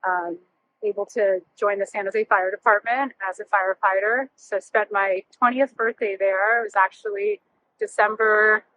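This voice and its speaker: A woman's voice: mid-range voice, fairly even intonation, moderate pace, a bit bright, a little hiss or hum, rough recording. A woman in her thirties whose first language is Russian, telling personal stories casually as an interview guest. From born near Chernobyl, emigrated as a child to California. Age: 30s. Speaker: interview guest, realtor and former firefighter.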